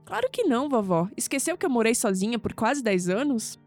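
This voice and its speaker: Sceptical young woman, expressive, wry, lively higher-pitched voice, audio drama, light music behind. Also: fairly quick. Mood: caring, exasperated.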